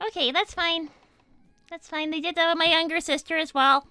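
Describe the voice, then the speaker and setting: A woman's voice: very high-pitched, steady tone, normal speed, pushed and bright, no room sound, faint music behind. A woman voicing a young female cartoon hedgehog who interviews in character, blunt, pushy and insistent. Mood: dry, skeptical. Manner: insistent, direct.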